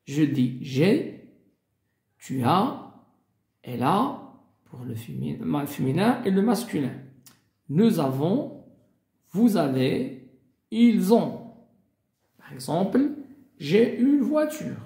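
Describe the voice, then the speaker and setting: A man teaching a beginner language lesson, speaking slowly and deliberately, spelling out and repeating each example. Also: high voice, sing-song, mellow, reverberant. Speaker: French teacher.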